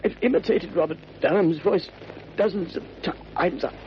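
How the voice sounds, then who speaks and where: Male crook, frightened and faltering, high voice, slow delivery, radio melodrama. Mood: dying, gasping. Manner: halting, faltering, pleading. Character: frightened, weak male crook pleading for his life. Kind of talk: old-time radio drama acting. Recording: noisy, muffled vintage radio recording.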